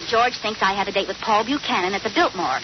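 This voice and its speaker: A woman's voice: medium pitch, lively intonation, fast talker, close to an everyday voice. A woman playing a playful, scheming young wife in an old radio comedy, with a theatrical, coquettish delivery. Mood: brisk, sly. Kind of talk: 1940s radio comedy acting. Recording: dull, noisy old radio recording.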